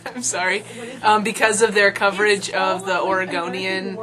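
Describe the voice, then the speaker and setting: A woman's voice: mid-range voice, flat delivery, a little slow, crowd noise. A woman of twenty-five answering interview questions casually, hesitant at first and then thoughtful.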